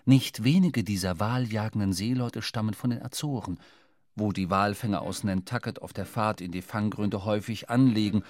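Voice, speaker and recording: An actor playing a reflective sailor who narrates a whaling voyage in a radio play, in measured, dramatic literary storytelling. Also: male voice, on the low side, darkish tone, no echo, lightly acted. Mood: reflective, grand.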